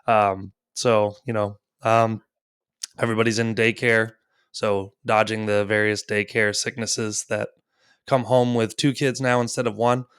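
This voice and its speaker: A man's voice: fairly low pitch, little pitch movement, measured pace, fairly bright tone, smooth, no echo, clean studio sound. A man in his thirties hosting a casual gaming podcast, rambling with lots of fillers and easy laughs. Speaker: podcast host.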